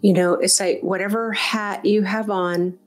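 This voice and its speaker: A woman leading a guided meditation as a teacher, speaking off the cuff in a gentle, encouraging, conversational way. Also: medium pitch, steady tone, unhurried.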